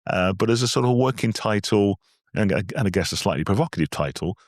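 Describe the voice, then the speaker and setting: Guy hosting a podcast, bit croaky from a cold, talks quick, lowish voice, dry close mic. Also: expressive, no echo. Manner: chatty, self-deprecating, fast-talking. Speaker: podcast host. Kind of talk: casual podcast chat.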